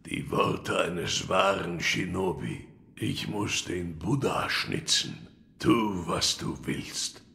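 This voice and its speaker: An actor playing a gruff, cryptic old wood-carver in an audio drama, brooding and gravelly. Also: male voice, low-pitched, big pitch swings, slow pace, sharp tone, heavily acted. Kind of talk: audio drama acting of a video game.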